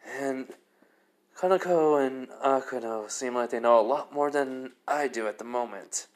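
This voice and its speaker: A man's voice: mid-range voice, sing-song, normal speed, fairly bright tone. A man voicing a cocky, easygoing young male fighter with dragon fire in a casual, offhand story telling. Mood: pondering.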